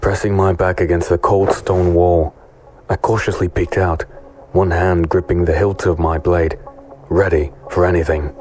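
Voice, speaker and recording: A man playing a brooding, haunted sellsword who narrates a dark fantasy audio drama in a grave, deliberate manner. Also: deep voice, little pitch movement, a little hiss or hum, lightly acted. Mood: tense. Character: brooding male sellsword infiltrator, haunted former prisoner, narrating. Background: music under the voice.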